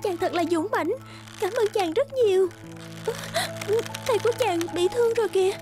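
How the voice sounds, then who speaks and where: A young princess in a fairy-tale cartoon. Her voice is extremely high and girlish, sweet but determined. Music plays behind her. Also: moderate pace. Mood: grateful. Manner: girlish, earnest. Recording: music under the voice.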